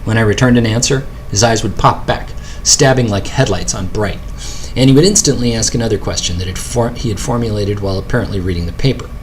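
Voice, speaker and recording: A man's voice: lowish voice, quick pace. American man reading aloud over background noise. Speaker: author reading own audiobook. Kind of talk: scripted narration of a first-person memoir.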